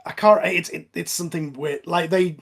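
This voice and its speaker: A man with a slightly high voice hosts a casual movie commentary. He talks like an opinionated fan, drifting into little asides. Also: steady tone, normal speed, vocal fry, a bit bright. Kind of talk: casual film commentary chat.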